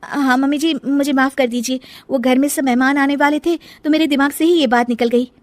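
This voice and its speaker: Woman acting young snake-woman bride, high voice, meek, apologetic, anxious, cartoon storytelling, dry sound. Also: steady tone, fairly quick, no echo, natural voice. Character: anxious young shape-shifting serpent daughter-in-law, sweet and meek. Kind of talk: Hindi cartoon moral story.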